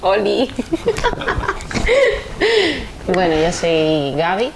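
A woman's voice: fairly low pitch, lively intonation, extremely slow. A woman from Venezuela, chatting casually in Spanish as a dance teacher being interviewed. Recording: clear background noise.

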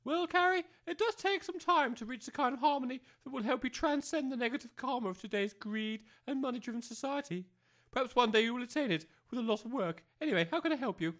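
Woman doing posh-minded hippy academy head, pompous and condescending, high swoopy voice, comedy radio play. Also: expressive, quick pace. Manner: condescending, precise, lofty jargon. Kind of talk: amateur comedy audio drama.

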